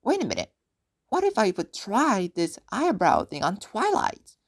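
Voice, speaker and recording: A woman's voice: medium pitch, huge pitch swings, a little slow, full character voice. A woman voicing an eager, naive and cocky young male dragon in an amateur audio story. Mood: scheming, eager.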